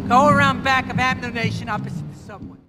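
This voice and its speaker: An actor playing a bossy, streetwise mafia club boss in a video game, commanding and threatening in slangy talk. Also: male voice, very high voice, steady tone, fast, music in the background. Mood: scornful, businesslike. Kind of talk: video game cutscene acting.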